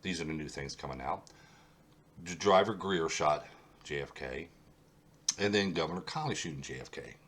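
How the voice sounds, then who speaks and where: Very deep-voiced congested man. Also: normal speed, long pauses, a bit bright. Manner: insistent, repeats points for emphasis. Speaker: host of a history mystery channel. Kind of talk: solo video talk over a map.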